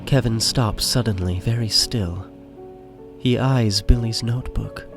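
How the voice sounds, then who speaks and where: A man's voice: on the low side, unhurried, over music. A man playing the wry, dramatic narrator of a scripted audio drama.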